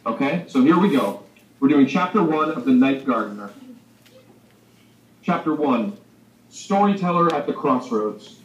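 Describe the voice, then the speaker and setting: A man's voice: medium pitch, animated, measured pace, long pauses, highs cut off. A man, a children's author, speaking enthusiastically and directly to young listeners as he presents and reads his storybook over a video call. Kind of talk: animated school talk and storybook reading. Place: video call played into a school library. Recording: roomy, distant video-call sound.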